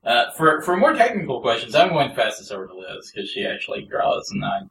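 Lively joking interviewer in an echoey room. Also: male voice, mid-range voice, quick pace, far from the mic. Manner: playful, joking. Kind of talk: casual interview. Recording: echoey, off-mic, faint noise.

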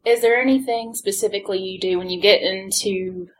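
A woman's voice: medium pitch, fairly even intonation, fairly quick. A woman co-interviewing a guest, asking friendly, casual questions. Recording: faint background noise.

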